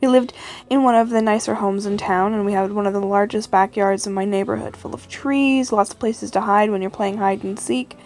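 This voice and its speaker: A woman's voice: mid-range voice, fairly even intonation, a little fast, darkish tone, faint music behind. A woman narrating a first-person scary story in an informal, conversational way.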